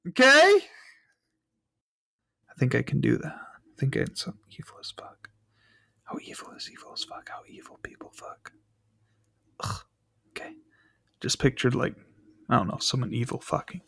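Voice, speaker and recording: A man's voice: medium pitch, wildly swooping pitch, normal speed, vocal fry, long pauses, bright, forceful tone, dry recording, in a whisper. A male voice actor casually muttering to himself between takes, sweary and self-deprecating. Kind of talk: casual outtake, muttering to self before a take.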